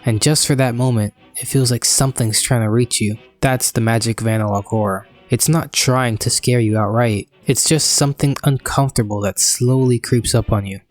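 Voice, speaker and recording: A man narrating a scripted video essay in a measured, explanatory manner. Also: mid-range voice, fairly even intonation, soft background music.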